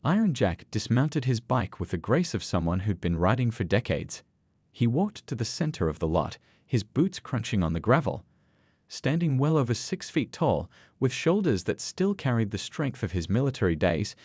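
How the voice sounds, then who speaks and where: A man's voice: mid-range voice, big pitch swings, moderate pace, a little mellow, slightly rough, dry recording. A man narrating a scripted dramatic story.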